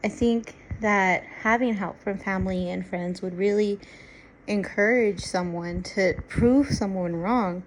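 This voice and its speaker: Woman interviewee, slow deliberate delivery, faint room hum behind. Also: mid-range voice, poor audio. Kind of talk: casual interview answer.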